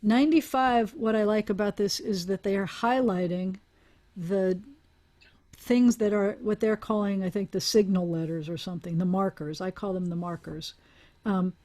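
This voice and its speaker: Woman, teacher, mid-pitched, talks things through, video call audio. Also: darkish tone. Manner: explanatory, thinks aloud with restarts and small laughs. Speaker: Hebrew teacher. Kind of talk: online class teaching.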